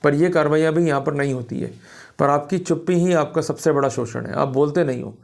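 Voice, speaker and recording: A man's voice: on the high side, fairly quick, vocal fry, a little mellow. An Indian man lecturing in an emphatic, didactic manner, often checking that listeners follow, as a law teacher. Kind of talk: instructional law lecture in Hindi mixed with English terms.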